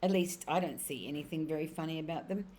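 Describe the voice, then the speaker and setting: A woman's voice: sits low, fast talker, darkish tone, a little hiss or hum, close to an everyday voice. A woman voicing an unimpressed panther who speaks in a dry, bored way.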